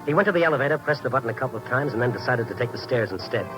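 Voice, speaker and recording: 1950s radio recording, music behind, male actor as wry American reporter, fast-talking, hardboiled, dogged. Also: medium pitch, animated, lightly acted, poor audio. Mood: wry, tense narration. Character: male night-beat newspaper reporter, wry, dogged, lean, loyal friend. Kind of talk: 1950s radio drama acting and hardboiled narration.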